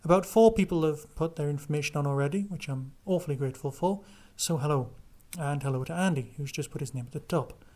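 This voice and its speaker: A man presents his podcast in a casual, conversational style, talking through news and reviews on his own. His voice sits a little higher than average for a man. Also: quick pace, few pauses, a little mellow. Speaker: podcast host. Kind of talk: casual solo podcast review and listener chat.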